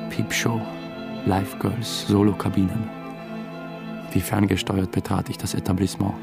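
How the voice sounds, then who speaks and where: An actor playing an uneasy, obsessive theatre dramaturge, confessing hesitantly in a radio drama. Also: male voice, lowish voice, flat delivery, moderate pace, creaky. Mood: brooding, longing. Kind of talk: first-person radio drama narration. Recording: music under the voice. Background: music.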